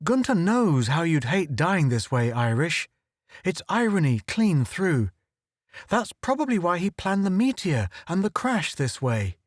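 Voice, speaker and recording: A small, jumpy photographer, as a male narrator plays him, cracking wry jokes between bursts of alarm. His voice sits high and bounces up and down. The recording is dry and close. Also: no echo. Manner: quick, excitable, wry. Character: small nervous wisecracking photographer, man.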